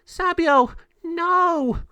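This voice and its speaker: A man's voice: very high-pitched, extremely slow, pushed and bright, no echo, pitched above the performer's own voice, heavily acted. A man voicing a young girl turned into a bird in a storybook, urgent and exclaiming. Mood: alarmed.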